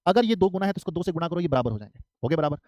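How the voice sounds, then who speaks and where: Male math teacher from Haryana in his thirties, slightly high, close and dry, drills every step. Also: animated, racing, a little mellow, no room sound. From Haryana, India. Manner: repetitive, asks and answers his own questions. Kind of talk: live online exam-prep math class.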